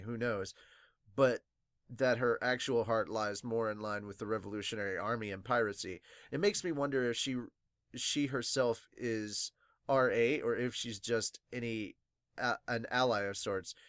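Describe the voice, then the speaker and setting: A man with a mid-pitched voice talks casually and excitably, like a fan hosting a reaction podcast. His nose sounds slightly stuffed and sniffly, and he swears freely. The recording is close and dry, with very little room sound. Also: no echo. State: congested, sniffly from crying. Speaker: podcast host reviewing manga. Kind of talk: casual fan reaction, reading viewer comments aloud.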